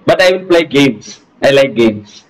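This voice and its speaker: A man's voice: medium pitch, lively intonation, unhurried, rough recording. A young man with a Malayali English accent chatting casually on a video call, playful and teasing, with lots of hesitant fillers. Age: young adult. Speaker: video chat prankster. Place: small room, indoors.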